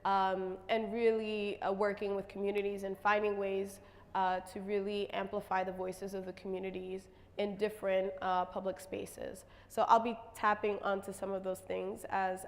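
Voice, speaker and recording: A woman artist presenting her work on a stage, her voice slightly raspy, talking with many pauses and fillers. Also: medium pitch, flat delivery, big-room sound. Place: stage in a large hall.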